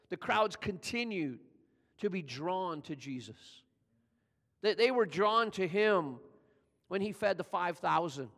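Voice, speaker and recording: A man's voice: vocal fry, a bit bright, big-room sound. An older man from California, a pastor giving a conversational sermon that builds in energy as he retells the story. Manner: conversational preaching, builds in pitch and volume as he tells the story.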